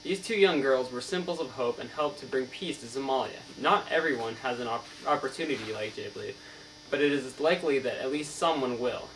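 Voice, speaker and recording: A man's voice: mid-range voice, fairly quick, fairly bright tone, natural voice. Man playing an earnest novelist, distant humming recording. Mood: earnest, explanatory. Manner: formal, recited, stumbles over words. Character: earnest, learned Somali novelist being interviewed. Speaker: student playing an interviewed author. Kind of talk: scripted mock interview answers. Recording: distant mic, clear mains hum.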